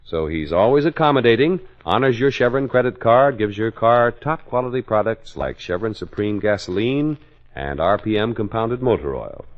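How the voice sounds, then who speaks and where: A man's voice: lowish voice, lively intonation, normal speed. A man with an American accent reading scripted 1940s radio announcements and sponsor messages. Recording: dull old radio recording with music.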